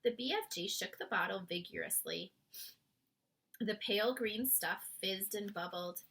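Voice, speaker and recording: A woman reading a children's story aloud as an expressive narrator. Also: mid-range voice, unhurried, vocal fry, rough recording. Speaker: storybook reader.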